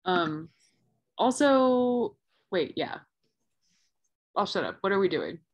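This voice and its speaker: A woman's voice: mid-range voice, very animated, slow pace, highs cut off. A woman playing in a tabletop game over a video call, chatty, rambling and self-deprecating. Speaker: player.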